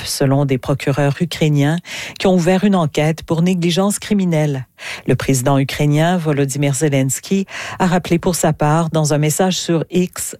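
A woman's voice: low voice, mellow, no echo, studio quality. A woman reading scripted news as a radio anchor.

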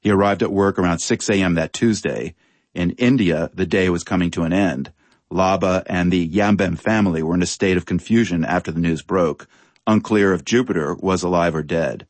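Guy reading a news feature, really low voice, dry close sound. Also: moderate pace, dry recording. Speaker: radio news presenter. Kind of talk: scripted news narration.

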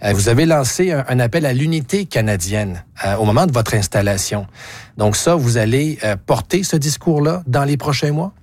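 A man's voice: mid-range voice, animated, normal speed, darkish tone. A man interviewing a guest and putting direct, probing questions to her.

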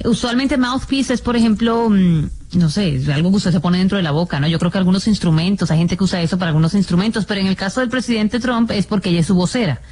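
A woman's voice: mid-range voice, fast, few pauses, a little mellow, a little hiss or hum. A woman co-hosting a radio show, chatting playfully and slipping in English phrases. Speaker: radio co-host. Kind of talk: casual radio chat.

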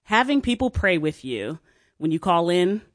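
A woman's voice: lowish voice, sing-song, moderate pace. A woman giving a conversational, scripted testimonial in a radio advertisement.

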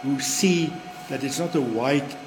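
A man's voice: medium pitch, measured pace, over music. South African man in an echoey room. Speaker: museum spokesperson. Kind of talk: interview remarks. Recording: echoey room with background noise.

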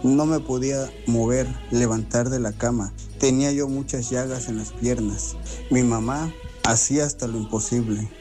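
Mellow Mexican man in his twenties. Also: medium pitch, steady tone, moderate pace, soft background music. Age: 20s. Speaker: testimonial giver. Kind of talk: personal testimonial.

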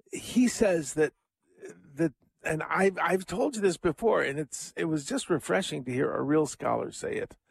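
A man's voice: mid-range voice, creaky, breathy edge, dry recording. Rambling older man on radio, dry sound. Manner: rambling, chuckling asides, many fillers. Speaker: radio host. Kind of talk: casual scripture commentary.

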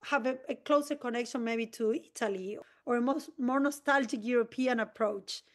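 A woman speaks as an interview guest. Her voice sits fairly high, and she explains things at length in an earnest, enthusiastic way, with the odd quick restart. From Argentina. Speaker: community organisation president.

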